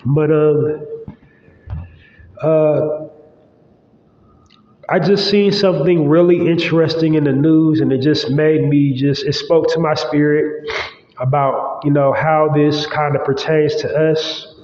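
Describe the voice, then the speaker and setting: A man's voice: a little high, fairly even intonation, slow pace, pauses often, a little mellow. A man hosting his own podcast, talking casually and loosely with lots of fillers, his nose stuffy and congested. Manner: loose, rambling, with many fillers. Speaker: podcast host. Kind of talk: casual solo podcast monologue. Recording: roomy, long-echo, slightly dull sound.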